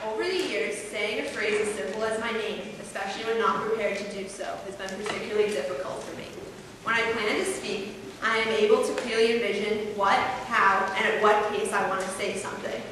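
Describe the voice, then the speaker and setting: A woman's voice: mid-range voice, fairly even intonation, brisk. A teenage student with a mild stutter delivers a formal, carefully paced speech. Her voice sits mid-range and is picked up from a distance, with a long, roomy hall echo around every word. A faint hiss sits underneath. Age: teens. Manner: formal, carefully paced, occasional repeated words. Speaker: student speaker. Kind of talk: prepared speech to an audience. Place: large hall, heard from far off.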